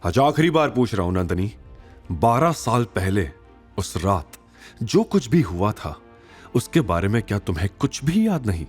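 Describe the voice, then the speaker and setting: A man's voice: on the low side, sing-song, normal speed, darkish tone, husky, soft background music. A man voicing a serious, brooding, determined young hero in an audio drama. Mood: grave.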